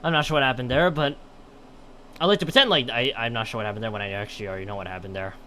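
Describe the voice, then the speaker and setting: A man's voice: medium pitch, racing, sharp tone, a little hiss or hum. A man commentating on a game stream and reading game text aloud in a rambling way, often stumbling and correcting himself. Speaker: live streamer.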